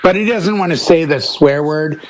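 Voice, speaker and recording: A man chatting casually as a DJ on a panel, telling stories in an opinionated, animated way. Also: on the high side, moderate pace. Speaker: DJ and podcast panelist. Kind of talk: casual chat.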